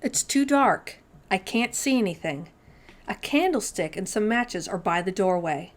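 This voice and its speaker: Lady doing a cheeky brave storybook guy, slow-paced, taunting, acting totally unbothered. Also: mid-range voice, lively intonation, natural voice. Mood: mock-casual, dismissive. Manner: feigned nonchalance, taunting.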